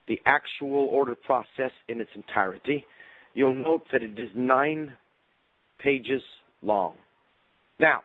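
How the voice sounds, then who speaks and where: A man presenting a research webinar over a tinny, phone-like line, his voice going hoarse as he is losing it. His delivery is measured and explanatory, in a mid-range pitch. The sound is dry and close, with no room around it. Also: no room sound.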